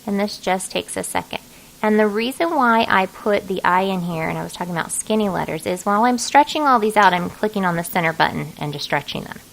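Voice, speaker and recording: A woman narrating an informal software tutorial, talking casually and thinking aloud as she works. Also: medium pitch, brisk, gravelly, poor audio. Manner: casual, folksy, thinking aloud while working. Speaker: craft design software tutorial host.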